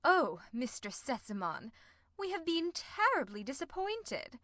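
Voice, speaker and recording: Woman doing a prim housekeeper, stern, contemptuous, high, bright, storybook reading. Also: big pitch swings, unhurried, exaggerated voice. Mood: aggrieved. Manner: sharp, scolding, contemptuous. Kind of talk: audiobook character voice.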